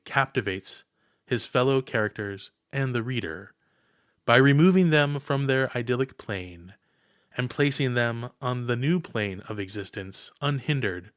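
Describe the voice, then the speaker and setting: A man reads a scripted essay in a formal, oratorical style. He phrases deliberately and pauses between clauses. The recording is dry and close, with no room sound. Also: mid-range voice, a bit bright, no echo. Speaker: podcast host.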